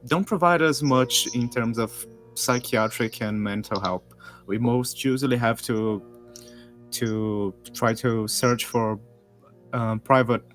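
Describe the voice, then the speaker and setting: Young man, halting speech. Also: medium pitch. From Brazil. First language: Portuguese. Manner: halting, with frequent restarts. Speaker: guest. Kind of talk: casual online group chat.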